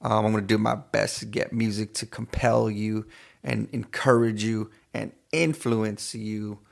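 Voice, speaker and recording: A man from East Los Angeles with a gravelly voice, chatting casually to listeners as a radio DJ and show host, repeating phrases as he goes. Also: on the low side, fairly even intonation, unhurried. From East Los Angeles, California. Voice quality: gravelly, creaky voice. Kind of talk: casual radio DJ chat between songs.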